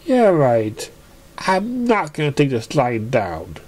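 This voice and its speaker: A man's voice: mid-range voice, huge pitch swings, slow pace, a little mellow, a little hiss or hum. A man voicing a jealous, hot-tempered female party pony in a melodramatic, indignant way. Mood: determined.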